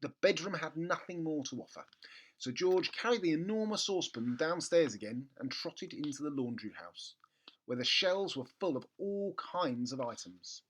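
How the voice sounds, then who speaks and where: A man narrating a children's book expressively, with theatrical emphasis and comic pauses. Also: fairly high pitch, sing-song, fairly bright tone, poor audio. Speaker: storybook reader.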